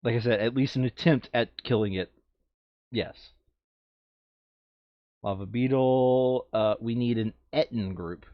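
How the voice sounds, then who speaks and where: A man's voice: mid-range voice, measured pace, pauses often, no echo, muffled recording. A man playing a board game casually, reading out rules and thinking aloud as he narrates the moves. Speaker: board game player on a playthrough show. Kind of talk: casual tabletop game play commentary.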